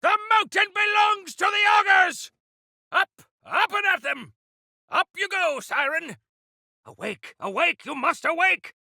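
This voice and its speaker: An actor playing a grandiose, eccentric exiled miner rebel in a video game, speaking in florid, archaic, theatrical phrases. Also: male voice, very high-pitched, animated, a little slow, vocal fry, sharp tone, no echo, raised voice, clean studio sound, full character voice. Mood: rallying.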